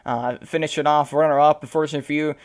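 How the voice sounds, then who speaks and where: A man with a hoarse, fading voice interviewing sim racing drivers after a race, rambling with many fillers. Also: a little high, fairly even intonation, very fast. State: losing his voice.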